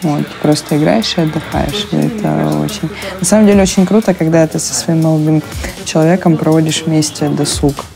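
A woman's voice: low voice, steady tone, fairly quick, gravelly. A woman in her twenties, a musician, speaking hesitantly with many fillers in a documentary interview. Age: 20s. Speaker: local musician. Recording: music playing under the voice.

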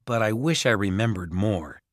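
A man's voice: lowish voice, expressive, moderate pace, no room sound, clean studio sound. A man voicing an anxious but defiant young boy in a storybook, earnest and strained. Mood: subdued, doubtful.

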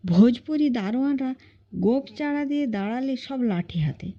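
A woman's voice: high-pitched, expressive, moderate pace, mellow, highs cut off. A woman narrating a classic short story as an audiobook reader, in scripted storytelling style.

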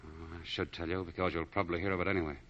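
Low-voiced doomed romantic prisoner. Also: male voice, steady tone, rapid-fire, a bit bright. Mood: grave, pleading. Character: doomed escaped prisoner, tender, earnest romantic lover. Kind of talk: 1940s radio acting. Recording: muffled 1940 radio broadcast with music.

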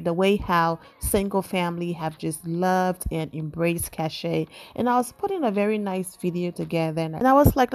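A woman with a Liberian accent runs a celebrity gossip vlog, chatting to her audience and tagging her points with a quick "okay?". Her voice sits a little low for a woman. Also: darkish tone. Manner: chatty, emphatic asides with "okay?". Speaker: entertainment news vlogger. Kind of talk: casual gossip commentary.

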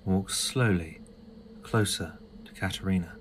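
A man narrates an audio drama from a script in a fairly low voice. Clear ambient noise runs behind him. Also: unhurried.

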